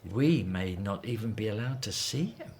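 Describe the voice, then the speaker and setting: A man's voice: fairly low pitch, normal speed, a little hiss or hum. A man playing an earnest, devout Hebrew father in a biblical radio drama. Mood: thoughtful, resigned. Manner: earnest, expressive. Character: devout, anxious Hebrew father in ancient Egypt.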